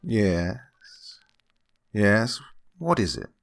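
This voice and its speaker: An actor playing an unctuous, scheming gentleman employer who feigns kindly absent-mindedness, fussy and repetitive, in an old-time radio horror drama style. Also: lowish voice, very animated, very slow, creaky, loud music behind the voice, full character voice. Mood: fussily welcoming. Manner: fussy, hesitant, repeats himself, chuckling.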